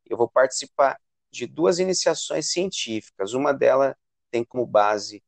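A man with a Brazilian accent from São Paulo state, explaining things conversationally as an interview guest, often halting and repeating words. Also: mid-range voice, lively intonation, vocal fry, no room sound. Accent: Brazilian Portuguese, São Paulo state. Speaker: English teacher and university student, interview guest.

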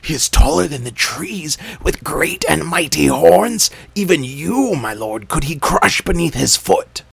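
A man voicing a nervous male jackal servant who yelps in high, panicky cries. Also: lively intonation, measured pace, creaky, a bit bright, husky, full character voice. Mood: frantic.

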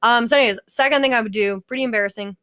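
A woman's voice: fairly high pitch, rapid-fire, fairly bright tone. A young woman co-hosting a podcast, telling casual comedic stories in a giggly, sweary, rambling way with frequent self-corrections. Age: young adult. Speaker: podcast co-host. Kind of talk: casual comedic storytelling chat.

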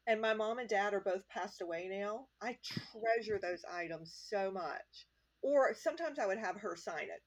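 A woman's voice: mid-range voice, normal speed. A woman guest on a podcast, speaking earnestly and with feeling in casual chat.

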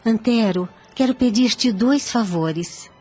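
A woman's voice: fairly high pitch, takes it slowly, dark tone, husky. An actress playing a devout, anxious young noblewoman in a period radio drama, with an earnest, formal, pleading delivery. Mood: worried, devout. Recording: music under the voice. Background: background music.

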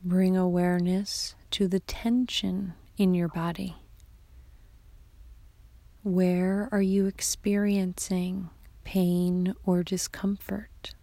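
Hushed, very slow woman meditation guide. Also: fairly low pitch, dry recording. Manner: soft, hushed, half-whispered delivery; calm, soothing instructions. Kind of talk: guided yoga nidra meditation.

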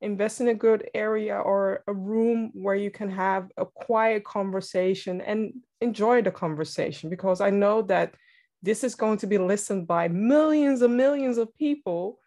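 A woman with a Dutch accent, Dutch by birth, speaks as a guest in a relaxed podcast interview. Her voice sits at a middle pitch. She talks thoughtfully and laughs easily. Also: moderate pace. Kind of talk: casual interview conversation.

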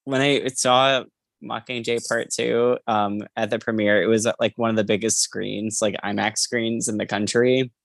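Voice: medium pitch, steady tone, moderate pace, vocal fry, no room sound. A podcast co-host casually discussing books in an emphatic way, often repeating phrases.

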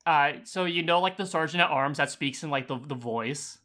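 A man's voice: fairly high pitch, moderate pace, sharp tone. A man co-hosting a podcast in casual chat, somewhat tentative and given to joking. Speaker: podcast co-host.

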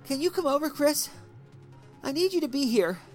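A shaken young wife pleads in a high, strained voice that swings up and down, as a male narrator puts her on. She sounds frightened and defensive, with soft music faint in the background. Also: big pitch swings, moderate pace.

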